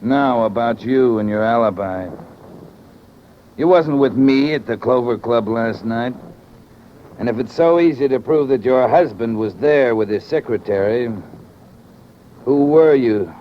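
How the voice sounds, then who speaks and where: A man's voice: medium pitch, measured pace, long pauses, lightly acted. An American man playing a huge, bullying, hot-tempered tough guy in old-time radio crime acting style. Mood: menacing. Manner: blunt, menacing. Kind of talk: 1940s-50s radio acting. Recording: muffled, noisy old radio recording.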